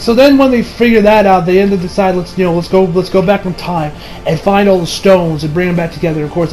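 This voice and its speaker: A young adult man giving a casual, rambling movie review with excitable delivery and frequent swearing. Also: high-pitched, rough recording. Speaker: video reviewer. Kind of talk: casual movie review chat over gameplay.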